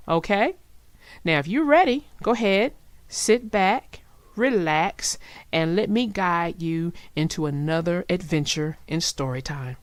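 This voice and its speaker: A woman narrates a children's storybook at an unhurried pace. Her lowish voice moves expressively up and down, deliberate in its storytelling, with music underneath. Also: no room sound. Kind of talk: read-aloud storybook narration.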